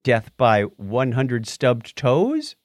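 This is a man co-hosting a casual podcast, with a playful way of telling things. His pitch moves around a lot as he talks, at a middle register. The sound is dry, with very little room in it. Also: wildly swooping pitch, very slow, no echo. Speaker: podcast co-host. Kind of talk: casual chat and reading out listener comments.

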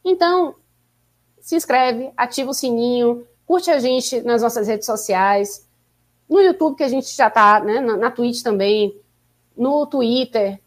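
A woman co-hosting a casual live podcast stream, chatty and upbeat as she urges viewers to like and subscribe. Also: fairly high pitch. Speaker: podcast co-host.

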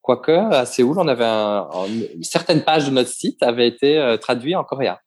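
A man's voice: medium pitch, animated, moderate pace. A young man with a Belgian French accent, bilingual, answering interview questions about his embassy job at length, with many hesitations and restarts. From Belgium, grew up in Flanders. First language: bilingual French and Dutch. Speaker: embassy staff member, interviewee.

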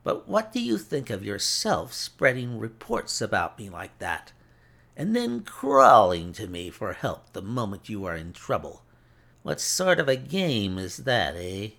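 A male reader acts out a cruel gentleman villain from a classic detective story. His voice is rough and creaky, with big theatrical swings of pitch. He gloats with mock courtesy and savors each taunt smugly. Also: huge pitch swings, measured pace, heavily acted. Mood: sneering menace. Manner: taunting, mock-polite, smug.

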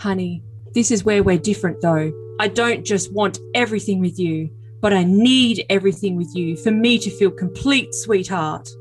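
A woman's voice: medium pitch, moderate pace, soft background music, natural voice. A woman playing a needy, brooding, self-important young man in an overly dramatic comic reading of texts, earnest and melodramatic. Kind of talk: overly dramatic comedic reading of text messages.

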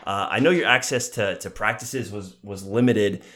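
A man's voice: on the low side, normal speed, fairly bright tone. An American man from Seattle hosting a podcast and asking questions in a conversational sports interview. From Seattle, United States.

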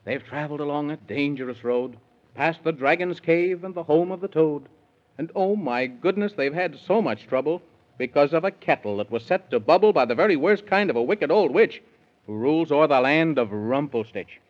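A man's voice: fairly high pitch, animated, normal speed. A man narrating a 1930s children's radio serial in sing-song rhyming verse. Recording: dull 1930s radio recording.

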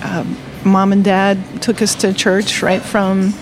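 A woman's voice: mid-range voice, level tone, slow, music in the background, poor audio. A woman in her sixties from Delaware, a retired teacher, telling her faith story cheerfully and laughing often in a coffee shop. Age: 60s. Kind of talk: personal testimony in conversation.

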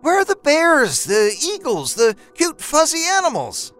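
A man's voice: extremely high pitch, animated, slow pace, soft background music, pitched above the performer's own voice, exaggerated voice. A man voicing an exasperated adult wife who scolds her husband bluntly. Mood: scolding exasperated. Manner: sharp, scolding.

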